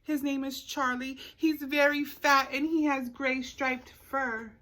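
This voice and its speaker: Woman as anxious little girl, high and loud. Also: steady tone, exaggerated voice. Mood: anxious, hopeful. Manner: loud, drawn-out calling. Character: young girls anxiously seeking their lost cat.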